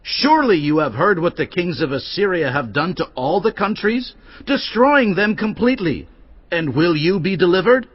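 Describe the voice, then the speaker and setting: Arrogant ancient envoy, high swooping voice, declamatory taunts, menacing, slightly muffled audio drama. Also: male voice, lively intonation, moderate pace, slightly rough. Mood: boastful threat. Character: menacing, boastful Assyrian envoy delivering a king's threat. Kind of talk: dramatised audio Bible acting.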